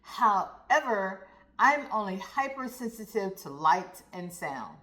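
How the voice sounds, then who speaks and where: Woman voicing an earnest, candid schoolboy, slow and echoey. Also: mid-range voice, big pitch swings, sharp tone, full character voice. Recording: roomy, echoey sound.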